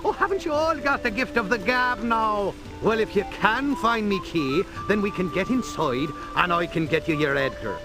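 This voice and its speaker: A man's voice: high voice, lively intonation, normal speed, hardly any pauses, poor audio. A man voicing a chirpy, folksy Irish mine-dwelling character in a cartoon video game, with a lilting way of talking. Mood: helpful, chatty. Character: chirpy Irish leprechaun-like mine owner, male. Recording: loud music behind the voice. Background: game music.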